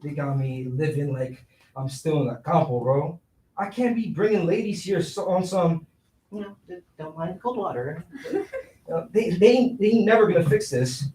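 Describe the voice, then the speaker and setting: A man's voice: fairly high pitch, normal speed, mellow, a little hiss or hum, natural voice. An actor playing a scheming, broke young city man in a staged reading, talking in slangy, persuasive street phrasing. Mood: bitter, venting. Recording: roomy echo, distant microphone.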